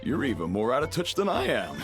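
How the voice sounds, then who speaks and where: A man's voice: medium pitch, huge pitch swings, moderate pace, over loud music. A man voicing a jovial middle-aged father and chef in a video game, folksy, hearty and teasing. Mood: amused, teasing.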